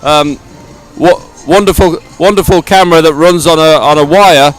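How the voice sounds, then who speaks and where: Male live event presenter, fairly high voice, effusive and repetitive, recorded outdoors. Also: moderate pace, rough recording. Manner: effusive, repeats words. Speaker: sports broadcast presenter. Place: outdoors at a regatta stadium.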